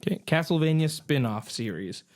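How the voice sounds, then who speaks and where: A man's voice: on the high side, lively intonation, fairly quick, a little mellow. A man co-hosting a podcast, introducing items and chiming in casually. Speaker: podcast co-host introducing each item. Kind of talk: casual group chat.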